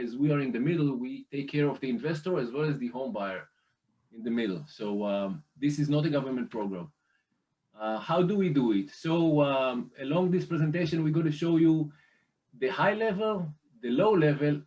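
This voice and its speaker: A man from Israel with an Israeli accent, a guest walking listeners through a lending program on slides, speaking haltingly with many fillers and restarts. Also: on the high side, darkish tone, recorded from a distance, low-quality recording. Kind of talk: slide presentation over a call.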